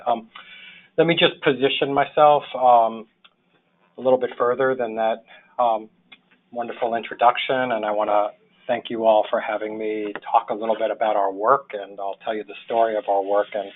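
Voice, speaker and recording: A man's voice: lowish voice, steady tone, moderate pace. Phone-line New York man of sixty. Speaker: webinar presenter, public health social worker. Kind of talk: informal slide presentation. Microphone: phone or webinar call. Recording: thin phone-line webinar audio.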